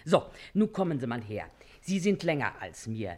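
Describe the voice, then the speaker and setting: An actress playing a shrewd, hard-working washerwoman with a Berlin accent and a brisk, folksy manner in a drama. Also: very deep voice, big pitch swings, a little mellow, full character voice. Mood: folksy, sly. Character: shrewd, quick-tongued, hard-working washerwoman and sly thief.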